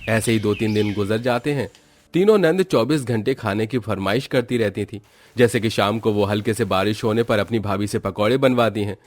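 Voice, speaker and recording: A man's voice: fairly low pitch, moderate pace, slight background noise. A man narrating a scripted cartoon moral story as its storyteller.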